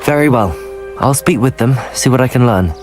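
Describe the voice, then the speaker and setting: A man voicing a calm, resolute teenage student of magic in a video game, polite and composed. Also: mid-range voice, moderate pace, lightly acted. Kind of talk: video game cutscene acting. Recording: music under the voice.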